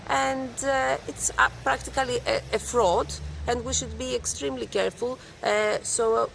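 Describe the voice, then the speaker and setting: Creaky-voiced young woman answering. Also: medium pitch, a little hiss or hum, rough recording. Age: young adult. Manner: hesitant, many fillers. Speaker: student interviewee.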